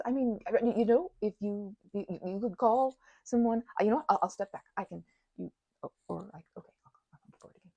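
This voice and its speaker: A woman voicing a timid, grateful, apologetic vampire in an intimate audio roleplay, stammering nervously, rambling and often dropping toward a breathy whisper. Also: medium pitch, warm tone, dry recording, full character voice. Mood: anxious, apologetic. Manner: nervous stammering, halting and self-interrupting.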